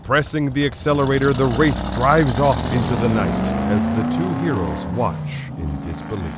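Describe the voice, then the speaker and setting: A man's voice: mid-range voice, expressive, moderate pace, very noisy. A man narrating a scripted drama in the dramatic style of a 1940s radio serial. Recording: music behind narration.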